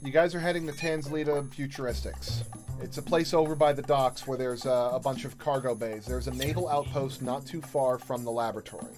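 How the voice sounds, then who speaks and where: A man in his thirties acting as game master and stream host, narrating and chatting in a halting, conversational way. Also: medium pitch, fairly even intonation. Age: 30s. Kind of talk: improvised tabletop game narration and casual chat. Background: music.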